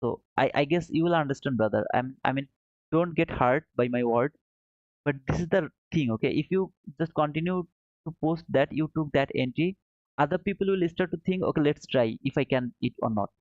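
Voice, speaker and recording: A man's voice: a little high, fairly quick, darkish tone, no room sound. A man from Bangladesh, not an English speaker, hosting a trading livestream in an earnest, repetitive way and calling his viewers brother. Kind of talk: casual live-stream commentary. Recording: dull muffled dry sound.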